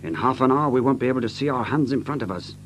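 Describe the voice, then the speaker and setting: An actor playing a coolly analytical gentleman detective in an audio mystery drama, precise, theatrical and authoritative. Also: mid-range voice, lively intonation, quick pace, background noise, natural voice. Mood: tense, hushed.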